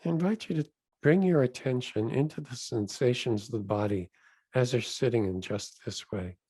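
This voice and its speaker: A man leading a guided meditation as a rabbi, phrasing each instruction gently and deliberately, with pauses between short phrases. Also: mid-range voice, breathy edge, no echo.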